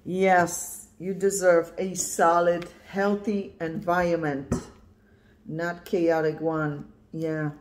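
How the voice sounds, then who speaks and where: Low-pitched woman from Poland reading tarot. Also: level tone, takes it slowly, a little mellow. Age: 50s. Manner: emphatic, repeats "yeah" and "right?". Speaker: tarot reader. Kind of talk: intuitive tarot reading to camera. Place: indoors at a card table, camera on the cards.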